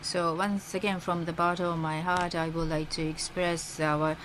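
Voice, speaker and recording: A woman working as a simultaneous interpreter, rendering a formal speech live in halting phrases. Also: on the low side, level tone. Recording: interpreter feed with faint background noise.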